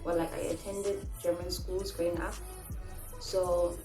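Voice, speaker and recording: A woman's voice: on the low side, level tone, unhurried, mellow, reverberant, soft background music, low-quality recording. A woman in her twenties from Namibia, answering viewer questions in a casual, chatty vlog and telling stories with many fillers. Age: 20s. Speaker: vlogger.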